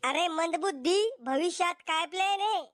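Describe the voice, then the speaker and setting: A man voicing a sharp-tongued, brazen young bride-to-be in a cartoon comedy, bluntly confident in a pitched-up voice. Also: moderate pace, no room sound. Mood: scolding.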